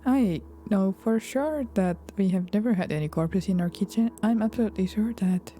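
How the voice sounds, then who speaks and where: A woman doing let's play commentary, reading game text aloud in a soft-spoken voice that often turns whispery. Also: mid-range voice, normal speed, a little hiss or hum. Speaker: video game streamer. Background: game music.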